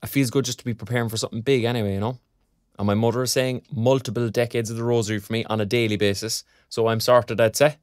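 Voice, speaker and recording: A man's voice: mid-range voice, steady tone, quick pace, clean studio sound, natural voice. Guy playing young irish grad, teasing and encouraging, chatty audio drama, no room echo. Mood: bantering. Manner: colloquial, bantering. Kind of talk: naturalistic audio drama dialogue.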